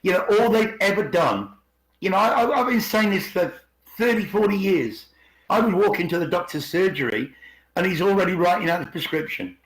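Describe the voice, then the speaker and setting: A man's voice: sits high, animated, fairly quick. A middle-aged man in casual, opinionated discussion on an online call, rephrasing himself emphatically as he goes. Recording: roomy, echoey online call.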